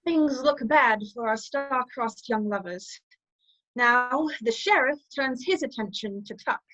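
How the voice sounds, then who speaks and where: A woman's voice: on the high side, expressive, a little slow, sharp tone, no room sound, lo-fi sound. A woman narrator giving playful scripted storytelling for a radio play.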